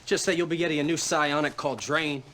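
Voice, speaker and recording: An actor playing a laid-back, cocky fellow soldier in a game cutscene, talking in a slangy, teasing way. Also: male voice, a little high, steady tone, fast talker, fairly bright tone, slight background noise, lightly acted. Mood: wry. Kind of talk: casual video game cutscene acting.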